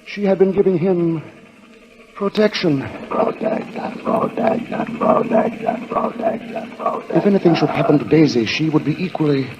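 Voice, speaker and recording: An actor as a grave, ominous narrator, telling a 1940s radio suspense story in deliberate, dramatic phrases. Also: male voice, a little high, background noise, natural voice. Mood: urgent, ominous. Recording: dull lo-fi old radio recording.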